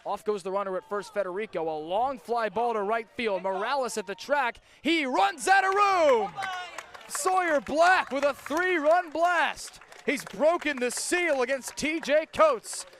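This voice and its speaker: A male baseball broadcaster with a very high-pitched voice delivers live radio play-by-play. He calls the game in punchy, clipped phrases, stretching words out as plays get bigger. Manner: punchy clipped calls that build to drawn-out shouts on big plays.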